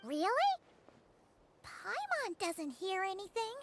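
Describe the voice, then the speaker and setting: Small excitable game fairy: very high, childlike, animated. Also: female voice, takes it slowly, background noise, heavily acted. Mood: curious. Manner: exclaiming, refers to self by name. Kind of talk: video game character acting. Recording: music behind the voice.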